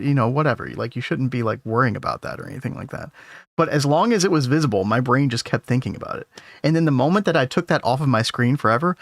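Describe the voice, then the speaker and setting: A man streaming a game in casual, rambling talk to his chat, his voice a little hoarse. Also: medium pitch, no echo, studio quality. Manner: rambling, easygoing, talks to chat. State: losing his voice. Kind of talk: casual gameplay commentary.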